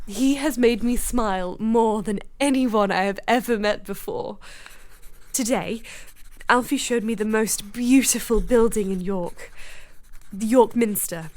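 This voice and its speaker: Woman acting a high-voiced, sheltered young lady. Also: lightly acted. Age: young adult. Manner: hesitant, proper period diction. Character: sheltered, well-bred young 19th-century English lady, shy but spirited. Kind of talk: period radio drama acting.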